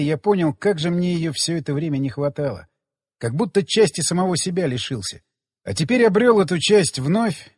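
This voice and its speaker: A man's voice: a little high, slightly rough, long reverb tail. A man reading first-person fiction as an audiobook narrator, in a scripted storytelling style.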